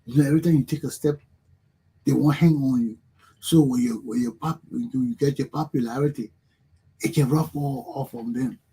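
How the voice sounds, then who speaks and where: A man's voice: medium pitch, brisk, mellow, husky, rough recording. A middle-aged man from Liberia with a Liberian accent, hosting a talk show and giving an emphatic, preachy motivational monologue that repeats its points.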